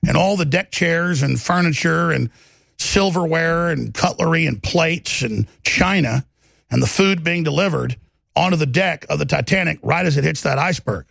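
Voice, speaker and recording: A man with a gravelly voice and a Texas twang, delivering impassioned political commentary in a confident, aggressive, emphatic way, piling up lists and rhetorical questions like a talk show host. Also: on the high side, fairly bright tone, no echo. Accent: Texan.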